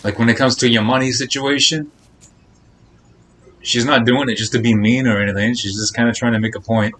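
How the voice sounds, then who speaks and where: A man's voice: medium pitch, fairly even intonation, a little fast, fairly bright tone, a little hiss or hum. A man livestreaming a game, talking casually and bluntly as he hands out advice to his chat. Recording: game music faintly behind the voice. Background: game audio and music.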